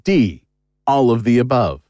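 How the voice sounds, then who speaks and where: A man's voice: mid-range voice, very animated, a little slow, warm tone, no room sound, studio quality. An actor playing an angry, suspicious male investigator in a horror audio drama, blunt and confrontational.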